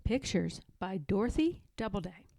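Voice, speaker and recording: A woman reading a children's storybook aloud in a deliberate, expressive manner. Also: mid-range voice, creaky, no room sound, clean studio sound. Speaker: storybook reader. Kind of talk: children's read-aloud narration.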